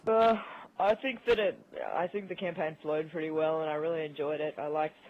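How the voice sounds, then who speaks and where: Male gaming-chat guest, fairly high and flat delivery, phone-like sound with faint noise. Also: brisk. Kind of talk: casual chat.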